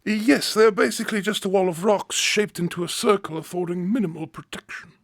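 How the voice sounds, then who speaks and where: A man's voice: sits high, brisk, no room sound, clean studio sound. An actor in a radio drama playing a helpful, proper manservant, deferential and a little hesitant as he explains.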